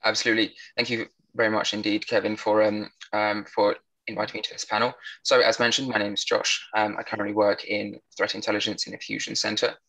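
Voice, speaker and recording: A man's voice: lowish voice, level tone, fast, vocal fry, few pauses, pushed and bright, poor audio. A young man with a British accent, answering as a panelist in a conversational way. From United Kingdom. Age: young adult. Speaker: panelist, threat intelligence professional.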